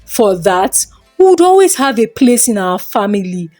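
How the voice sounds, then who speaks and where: A woman's voice: mid-range voice, big pitch swings, moderate pace, a little mellow, faint music behind, close to an everyday voice. A woman storyteller voicing a grateful, solemn father in a folktale.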